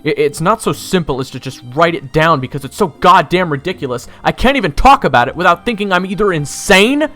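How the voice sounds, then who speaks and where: A man's voice: a little high, expressive, a little fast, few pauses, pushed and bright. A man playing a weary, sarcastic young soldier who tells his story in a casual, exasperated way in an audio drama. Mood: exasperated, agitated. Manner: wry, exasperated, casual first-person storytelling. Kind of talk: audio roleplay narration and dialogue.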